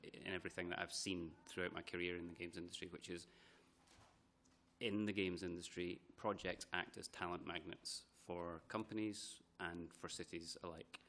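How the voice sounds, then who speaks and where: A man's voice: low voice, steady tone, moderate pace, fairly bright tone, slight background noise. A man giving evidence to a committee hearing, often repeating words as he thinks. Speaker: committee witness. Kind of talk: formal testimony.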